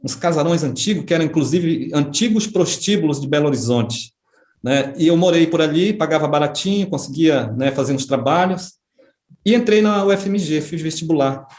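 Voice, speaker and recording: A middle-aged man from Brazil recounts his past at an unhurried pace, often checking in with short tag questions. His voice sits a little high for a man. The recording carries a noticeable room echo. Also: a little mellow. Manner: unhurried, with frequent tag questions and small restarts. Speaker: interviewee, writer and history professor. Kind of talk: reflective personal storytelling. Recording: echoey room.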